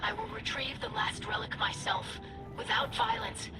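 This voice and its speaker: Earnest young alien daughter, game acting, really high voice, formal, loud music behind. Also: female voice, big pitch swings, moderate pace, creaky, exaggerated voice. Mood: determined. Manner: formal, emphatic phrasing. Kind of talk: dramatic video game acting.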